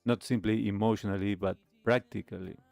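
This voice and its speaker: A man with a fairly low voice hosts a talk show in a reflective way. He phrases things deliberately, sometimes halting and repeating a word. The sound is very dry, with music playing behind him. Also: fairly even intonation, creaky, darkish tone, no room sound. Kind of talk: reflective commentary on a video.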